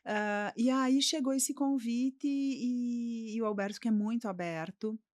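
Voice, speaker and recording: A woman's voice: fairly high pitch, unhurried, a little mellow, no echo, clean studio sound. A middle-aged woman from Brazil, a podcast guest telling her personal story in a reflective way, often checking in with "né?".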